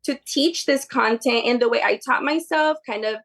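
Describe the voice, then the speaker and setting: Podcast guest, woman, native Spanish speaker. Highish pitch with gravelly fry, casual and chatty delivery. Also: normal speed, fairly bright tone. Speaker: podcast guest, behavior therapy tutor. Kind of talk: casual conversational interview.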